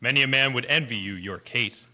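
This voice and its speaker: Radio drama: seasoned male sailor played by an actor, admonishing, mid-pitched, hard bright tone, echoing. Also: fairly quick. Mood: wry, chiding. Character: worldly sailor, George's steady, admonishing shipmate.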